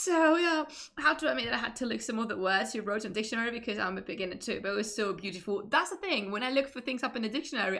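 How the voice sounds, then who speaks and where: A woman's voice: on the high side, a bit bright. A Spanish woman speaking English with a Spanish accent, chatting casually with many restarts. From Spain. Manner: chatty, self-interrupting, laughs often. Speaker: streamer. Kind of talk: casual chat.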